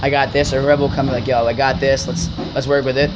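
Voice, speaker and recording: A man's voice: medium pitch, quick pace, over loud music. A man in his twenties, a rock band member, chatting casually in an interview and filling his talk with "like.". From New Jersey. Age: 20s. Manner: relaxed, laughs often, says "like" a lot. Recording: muffled, with loud background noise.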